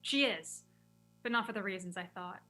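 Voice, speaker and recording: A woman's voice: medium pitch, normal speed, bright, forceful tone, soft background music, natural voice. A woman acting a caring but firm young woman in a radio play script reading. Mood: tender, reflective.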